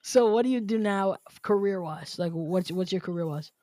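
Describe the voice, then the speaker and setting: A man's voice: high voice, moderate pace, warm tone, no room sound. A man hosting a podcast, upbeat and chatty, often repeating and restating his own phrases. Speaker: podcast host. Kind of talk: casual interview chat.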